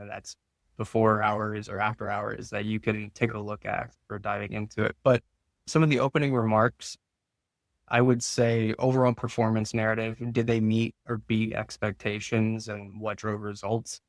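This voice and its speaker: Man explaining in an even, low voice. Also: normal speed, creaky, no room sound. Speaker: guest finance expert. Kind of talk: explanatory interview answers.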